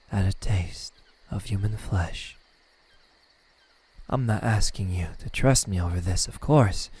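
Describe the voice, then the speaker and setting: A man performs a teasing, smug male demon in an intimate ASMR roleplay. His voice is dark and breathy, on the low side. He taunts very slowly, letting pauses hang with mocking softness. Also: heavily acted. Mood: resigned, soft. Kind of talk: ASMR roleplay, slow intimate villain acting.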